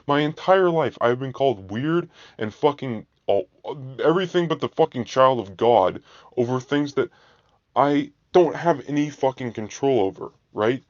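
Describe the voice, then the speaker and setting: A man ranting angrily in a profane, repetitive monologue, his voice flat and monotone. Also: mid-range voice, moderate pace, dry recording. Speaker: vlogger. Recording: dull lo-fi dry recording.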